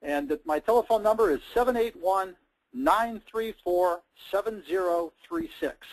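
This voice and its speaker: A man's voice: high-pitched, moderate pace, poor audio. An American man hosting a sales coaching talk in a conversational, emphatic style, with punchy short sentences. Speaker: host, sales trainer.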